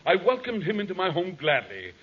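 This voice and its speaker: A man's voice: high-pitched, huge pitch swings, a little fast, breathy edge, full character voice. An actor playing a raggedy vagrant convict who tells his tragic life in florid, theatrical speech, in 1940s radio comedy style. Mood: mournful storytelling. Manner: florid, theatrical, formal diction. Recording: muffled old radio broadcast recording.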